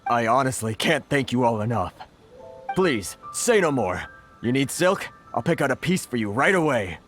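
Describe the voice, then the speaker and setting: A man's voice: mid-range voice, big pitch swings, moderate pace, music in the background, lightly acted. An actor playing a grateful male merchant who talks earnestly and stammers in a video game.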